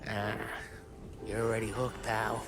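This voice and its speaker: An actor playing a weary, excitable, streetwise gangster who dreams of one last big score, in a naturalistic video game cutscene. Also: male voice, on the low side, measured pace, lightly acted, rough recording. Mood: wistful, coaxing. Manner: streetwise, foul-mouthed, wisecracking. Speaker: mobster accomplice in a crime game. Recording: loud music behind the voice. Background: music.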